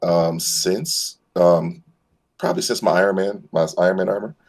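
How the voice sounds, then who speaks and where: A man with a very deep, rough-edged voice talks casually as a stream guest. He is easygoing and enthusiastic, pausing often and feeling for words as he explains. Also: darkish tone. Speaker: guest voice actor and cosplayer. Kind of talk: casual chat.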